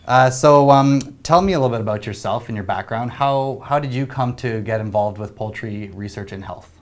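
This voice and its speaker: A man's voice: medium pitch, moderate pace. Man hosting with friendly prompts. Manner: friendly prompting with frequent uh fillers. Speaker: host and network coordinator. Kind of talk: interview hosting.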